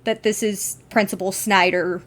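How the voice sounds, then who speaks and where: A woman's voice: medium pitch, steady tone, moderate pace. A woman co-hosting a podcast, talking casually and emphatically as an enthusiastic fan. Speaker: podcast co-host.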